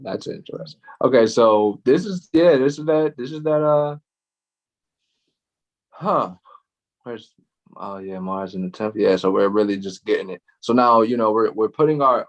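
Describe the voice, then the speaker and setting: A man's voice: on the low side, animated, normal speed, muffled recording. A man co-hosting a casual astrology chat, laid-back, with frequent laughs and lots of fillers. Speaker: astrology show co-host.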